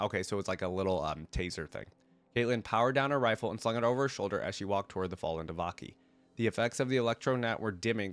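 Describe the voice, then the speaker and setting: Congested low-voiced man reading and commenting. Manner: rambling, thinking aloud in asides. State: congested from a cold. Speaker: reaction video host. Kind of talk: reading a story aloud with casual commentary.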